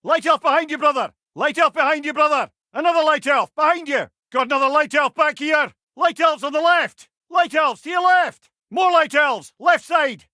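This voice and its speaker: A watchful male battle companion from a video game, calling out to his brother-in-arms. His voice sits extremely high for a man, with a forceful, bright edge. Each warning is short, urgent and barked, captured very dry with no room around it. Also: normal speed, few pauses, dry recording. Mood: urgent, alert. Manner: urgent, clipped, barking warnings. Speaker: game companion character calling out enemy positions. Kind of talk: shouted video game combat barks.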